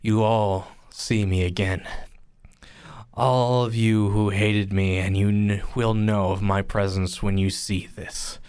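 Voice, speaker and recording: A man playing a bitter, menacing old uncle on his deathbed in a radio play, halting and theatrical, with coughs and sighs. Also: lowish voice, level tone, a little mellow, no room sound, clean studio sound. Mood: menacing, dying. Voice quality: coughing, breathy sighs and laughs.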